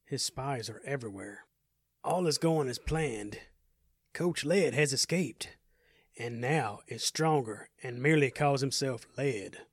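A man's voice: medium pitch, a little slow, breathy edge, dry recording, clean studio sound. A man voicing an obedient male henchman in an audio story, in a restrained, insistent manner. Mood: dutiful. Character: smooth-suited male henchman, obedient, curt.

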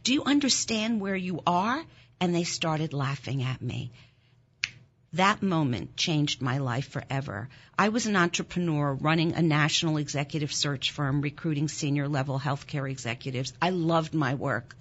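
A German-born woman in her sixties, a nonprofit founder, telling a deeply personal story in an interview in an earnest, intense way, her voice rising sharply when moved. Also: animated, moderate pace, breathy edge, dull audio. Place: Philadelphia.

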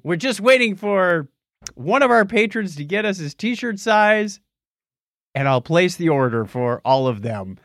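A man's voice: sits high, big pitch swings, unhurried, a bit bright, no room sound, clean studio sound. A middle-aged man co-hosting a podcast in casual, light-hearted chat with a jokey, teasing manner. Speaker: podcast co-host.

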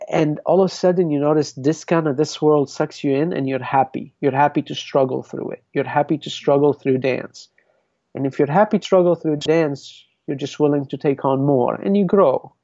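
Gravelly, mellow-voiced man from Lebanon. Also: fairly high pitch, fairly even intonation, normal speed, dry recording, dull audio. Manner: reflective, rambling with many restarts. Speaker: guest, belly dance artist. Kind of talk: podcast interview.

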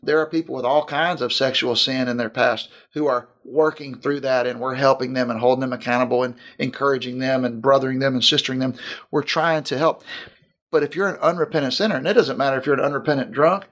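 A man's voice: mid-range voice, fast talker, fairly bright tone. Earnest man, pastor in conversation. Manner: earnest, piles up long lists. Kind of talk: casual podcast discussion.

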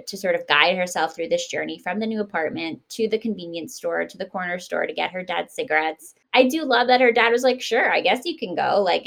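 A woman's voice: fairly low pitch, vocal fry, a bit bright. A woman hosting a podcast, chatting casually and expressively about books with an emphatic way of talking. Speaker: podcast host. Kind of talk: casual book discussion.

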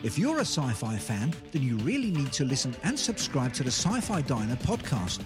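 A man's voice: medium pitch, wildly swooping pitch, normal speed. A British man reading a scripted podcast promo in a playfully polite, tongue-in-cheek manner. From Britain. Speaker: podcaster giving a promo endorsement. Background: music.

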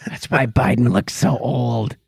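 A man's voice: medium pitch, a little slow, creaky, slightly rough, no room sound, studio quality. A man co-hosting a podcast in casual, comedic banter, with a joking, playful manner. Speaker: podcast co-host.

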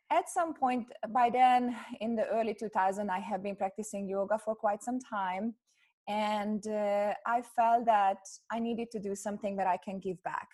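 A woman's voice: mid-range voice, darkish tone. A woman from Hungary, telling her story as a podcast guest in a chatty way with many fillers. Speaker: guest, yoga teacher. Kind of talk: podcast interview.

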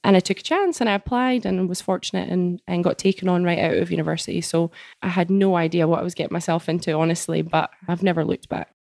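A woman's voice: on the low side, fairly even intonation, fast, creaky, darkish tone, no room sound, studio quality. A Scottish woman in her late twenties, chatting as a guest in an office interview, friendly, outgoing and self-deprecating. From Scotland. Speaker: guest engineer. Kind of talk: casual interview chat.

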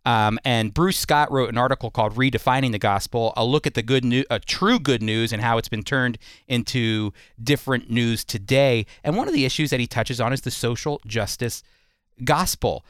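A man's voice: mid-range voice, normal speed, fairly bright tone, no room sound, studio quality. A man hosting a program, talking through issues in a conversational interview style.

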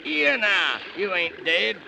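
A man's voice: sits high, sing-song, takes it slowly, vocal fry, exaggerated voice. A man playing a gallant, jolly walrus with hearty sailor talk in a 1930s children's radio play. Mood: urgent, hearty. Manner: hearty, colloquial nautical rhymed talk. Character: gallant, jolly old-salt walrus, helpful and blustery. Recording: noisy 1930s radio recording.

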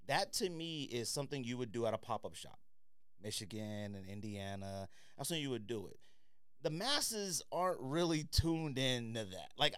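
A man's voice: mid-range voice, fairly bright tone, clean tone, dry recording, clean studio sound. A man hosting a podcast, giving casual sneaker reviews in an opinionated, slangy way and repeating points for emphasis. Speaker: podcast host.

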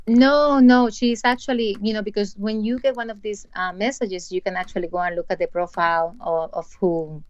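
A woman's voice: mid-range voice, steady tone, normal speed, hardly any pauses, dry recording, lo-fi sound. A woman with a Colombian Spanish accent, a podcast host chatting casually and retelling a story with many restarts and hesitations. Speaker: podcast host, dental practice owner. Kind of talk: casual chat.

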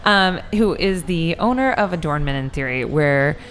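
A woman's voice: low voice, expressive, moderate pace, fairly bright tone. A woman interviewer, chatty and a bit rambling, who often adds likes and laughs at herself. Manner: chatty, rambling, self-deprecating, lots of likes. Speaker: podcast interviewer. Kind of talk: casual interview.

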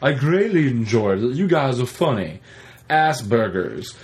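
A man voicing an excitable, easily frustrated comic big dog as a podcast host, rambling and repeating himself in exasperation. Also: medium pitch, measured pace. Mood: upbeat, rambling. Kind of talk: comic podcast banter in character.

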